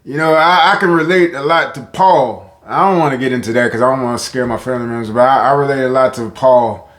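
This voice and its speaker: Deep-voiced guy rambling to his viewers in an echoey room, keeps restarting his sentences. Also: fast talker, fairly bright tone. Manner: rambling, self-interrupting, talks to viewers. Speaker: vlogger. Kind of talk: casual talk to camera.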